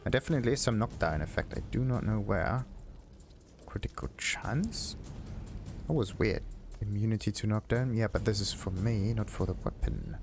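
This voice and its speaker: Casting: male gaming host, lowish voice, casual muttered commentary over clear background game music. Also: normal speed. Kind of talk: casual let's play commentary, thinking aloud. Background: video game music.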